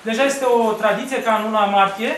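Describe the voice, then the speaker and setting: A man's voice: sits high, fairly even intonation, fairly bright tone, slight background noise. A man in his thirties making a formal speech on behalf of a museum. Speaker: museum representative. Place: museum exhibition hall. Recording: echoey hall, distant mic.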